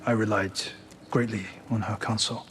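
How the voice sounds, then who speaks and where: Guy playing a strict samurai dad, formal n measured, game drama, bit of background noise. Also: lowish voice, little pitch movement, a bit bright, lightly acted. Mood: stern, commanding. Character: stern, grieving samurai lord and father. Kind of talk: dramatic video game cutscene acting.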